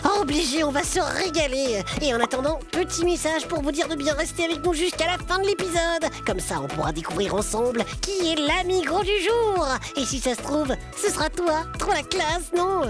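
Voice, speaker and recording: A woman voicing an excitable boy teddy bear puppet with a Belgian French accent, talking in an enthusiastic, slangy way. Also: sits high, normal speed, few pauses. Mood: excited. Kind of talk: children's puppet show. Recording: music behind the voice.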